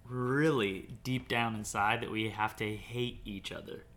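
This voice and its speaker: A young man hosting a casual podcast chat, rambling and laughing often, drifting into quieter asides. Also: steady tone, unhurried. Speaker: podcast host. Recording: faint background noise and rumble.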